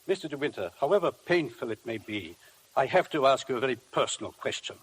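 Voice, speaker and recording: An actor playing a formal, persistent coroner in a 1950s radio drama, measured and officious. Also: male voice, medium pitch, animated, husky. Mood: stern, formal. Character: formal, persistent male inquest coroner questioning a witness. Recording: noisy vintage 1950 radio broadcast.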